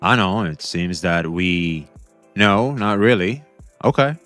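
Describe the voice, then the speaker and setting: A young man hosting a casual reaction stream, talking back to a video with excited, repetitive commentary. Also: deep voice, slow, dry recording, faint music behind. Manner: excitable, talks back to the video, repeats himself.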